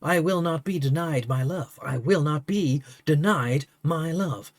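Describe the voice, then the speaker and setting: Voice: mid-range voice, a little slow, a little hiss or hum, close to an everyday voice. A reader voicing Liaya, a heartbroken young woman in a stage tragedy, wailing in an overwrought, hammy way. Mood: resolute.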